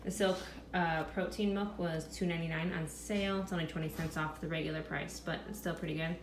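A woman in her thirties chats casually about her groceries, often stopping to recall prices. Her voice sits a little low. The sound is roomy, with a clear hum of household noise behind her. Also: fairly quick. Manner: chatty, thinking aloud about prices. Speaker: vlogger. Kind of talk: casual grocery haul narration. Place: home kitchen. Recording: echoey room with steady background noise.

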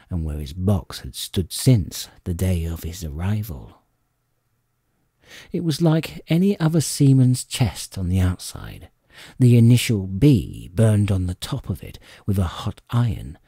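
A man narrating a classic adventure audiobook in an expressive, suspenseful storytelling manner. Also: on the low side, husky, no echo, clean studio sound. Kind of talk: dramatic scripted storybook narration.

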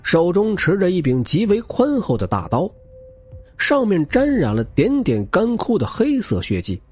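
A man's voice: high voice, wildly swooping pitch, normal speed, a little mellow, slightly rough, soft background music. A man narrating an audiobook in a dramatic, scripted style.

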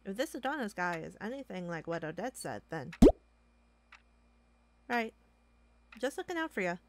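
A woman's voice: mid-range voice, big pitch swings, moderate pace, very noisy, natural voice. A woman playing a playful, nosy office underling in an audio roleplay, with a teasing manner. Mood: teasing, casual. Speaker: voice actor. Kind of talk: amateur audio roleplay acting.

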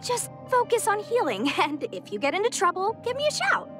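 Girlish swordswoman, very high, animated, eager, flustered, game. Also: fairly quick, exaggerated voice. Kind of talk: anime game voice acting. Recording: music behind the voice.

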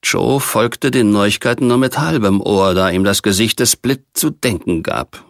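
A man narrating a science fiction novel as an audiobook, reading in a measured, precise literary manner. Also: lowish voice, creaky, no room sound, clean studio sound.

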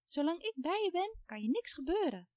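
A woman voicing a small, protective magic doll in a soft, reassuring tone. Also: very high voice, big pitch swings, fairly quick, dry recording, studio quality, pitched above the performer's own voice.

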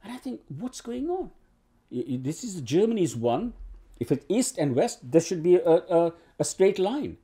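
An older man, born in Uganda, talks through his acting career, seated in a living room. His voice is very dark and mellow, and he circles back with restarts and filler sounds as he thinks aloud. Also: high voice, sing-song, normal speed. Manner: rambling, many restarts and fillers. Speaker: actor interviewee. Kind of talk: oral history interview. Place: living room with bookshelves and sofa.

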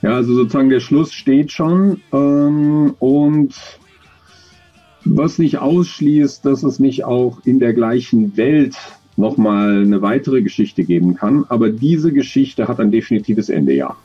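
A man's voice: medium pitch, animated, measured pace, slightly rough, lo-fi sound, faint music behind. A middle-aged man giving thoughtful interview answers, hesitant and often searching for words as he explains. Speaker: interview guest, audio drama author. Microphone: video call, close to webcam.

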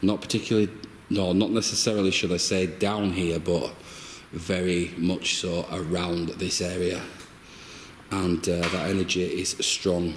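A man speaks very slowly and haltingly, searching for words as he works as a medium on a ghost hunt. His voice sits deep, and the noise of the cave location is audible behind him. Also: little pitch movement, poor audio. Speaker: paranormal investigator acting as medium. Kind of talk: describing impressions and calling out to spirits on a ghost hunt. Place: inside a cave at night. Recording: clear background noise and ambience.

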